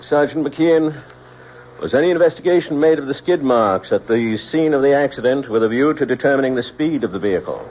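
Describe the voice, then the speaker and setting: An actor in an old-time radio drama playing a stern, formal coroner presiding over an inquest in a deliberate, official manner. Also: male voice, mid-range voice, animated, darkish tone, close to an everyday voice. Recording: muffled old radio recording, faint noise.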